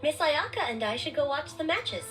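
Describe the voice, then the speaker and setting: A woman's voice: high-pitched, lively intonation, normal speed, distant mic, music in the background. A woman voicing a gracious, friendly young woman in a video game, speaking politely and encouragingly.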